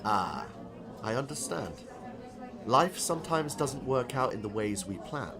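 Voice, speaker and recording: A man playing a genial, corny retired professor turned novelist, older, courteous and gently philosophical, in an audiobook drama. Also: mid-range voice, animated, unhurried, crowd noise. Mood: gently encouraging.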